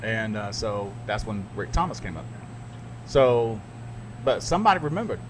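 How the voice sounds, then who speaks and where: A man's voice: on the low side, very animated, moderate pace, a little hiss or hum, poor audio. A middle-aged man, a radio DJ, co-hosting a podcast and telling stories in rambling casual chat. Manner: rambling, laughs at his own stories.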